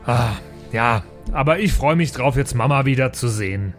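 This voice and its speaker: A man's voice: medium pitch, lively intonation, moderate pace, soft background music, close to an everyday voice. A man playing a simple, fight-loving dwarf brother in an improvised fantasy audio drama, blunt and teasing. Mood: wistful.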